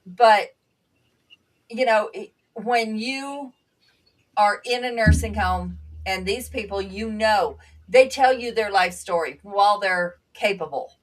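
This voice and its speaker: A woman whose first language was Bulgarian, a panel guest and former nursing assistant, speaking earnestly and reflectively with halting restarts. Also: mid-range voice, far from the mic, poor audio. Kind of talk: conversational panel talk.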